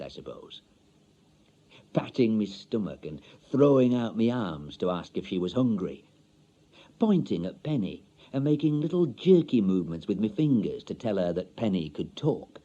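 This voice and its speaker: Husky, wistful older Yorkshire bachelor. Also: male voice, mid-range voice, warm tone, muffled recording, full character voice. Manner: colloquial, confiding, droll. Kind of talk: dramatic monologue, radio short story.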